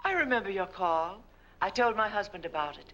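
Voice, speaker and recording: An actress playing a chatty, practical small-town justice's wife, matter-of-fact and helpful, in 1940s film style. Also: female voice, medium pitch, animated, fairly quick, fairly bright tone, dull audio, close to an everyday voice. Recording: very noisy old film, heavy rain.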